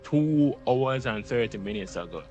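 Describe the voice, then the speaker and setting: A man's voice: mid-range voice, expressive, moderate pace, a little mellow, lo-fi sound, soft background music. A man streaming a game, chatting casually and swearing freely. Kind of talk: casual, profane stream chat.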